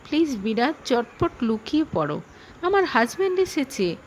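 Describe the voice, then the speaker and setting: Woman acting fretful young wife in an audio story, high swoopy voice, anxious and pleading. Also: very animated, moderate pace, a little hiss or hum. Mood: panicked pleading. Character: lonely, anxious young wife hiding an affair.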